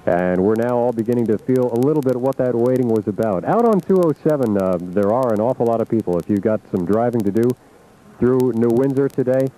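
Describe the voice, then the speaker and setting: A man reporting live on radio news, unscripted, measured and reflective, with searching pauses. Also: medium pitch, animated, dark tone. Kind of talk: live unscripted event coverage. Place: outdoors at an airport. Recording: muffled, noisy 1980s radio aircheck.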